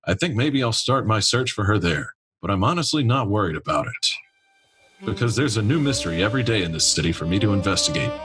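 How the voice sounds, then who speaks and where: Cocky ancient police detective played by a man for radio parody: low, rough-edged, light music underneath. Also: expressive, quick pace, a bit bright. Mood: nonchalant. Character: centuries-old Mardi Gras float detective, a cocky cop. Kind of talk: radio drama parody acting. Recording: music behind the voice.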